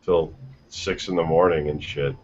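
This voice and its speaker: A man's voice: very deep voice, steady tone, normal speed, a little mellow, slight background noise. A man hosting a casual gaming news podcast, reading headlines and joking around with loose, swearing banter. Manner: reads headlines then jokes, swears freely. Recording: dull lo-fi podcast audio.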